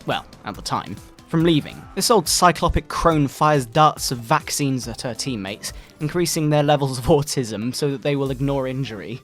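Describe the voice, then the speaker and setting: Man acting a pompous, theatrical fundamentalist preacher, swooping pitch. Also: a bit bright. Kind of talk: dramatic satirical scripted reading. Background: retro video game music.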